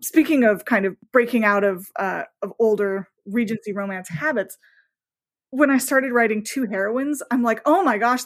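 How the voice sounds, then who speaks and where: A woman talking casually as a podcast guest, informal and effusive, with emphatic asides. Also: fairly high pitch. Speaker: podcast guest, romance novelist. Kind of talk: casual, chatty podcast interview.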